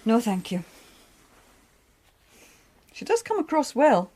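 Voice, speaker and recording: A woman playing a calm, gently wry character at home in a British radio drama, conversational and gently probing. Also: on the high side, slow pace, exaggerated voice.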